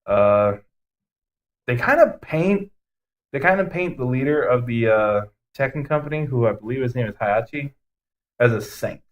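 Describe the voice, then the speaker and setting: A man's voice: medium pitch, lively intonation, normal speed, muffled recording. A man co-hosting a podcast, giving blunt, emphatic opinions in casual chat with some swearing. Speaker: podcast co-host. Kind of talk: casual ranting movie review chat.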